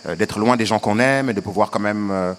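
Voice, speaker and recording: Actor guy from senegal answering questions slowly, lots of ums, earnest, lowish voice. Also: slight background noise. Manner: hesitant, many fillers, earnest. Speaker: actor, interview guest. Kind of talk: conversational interview answers.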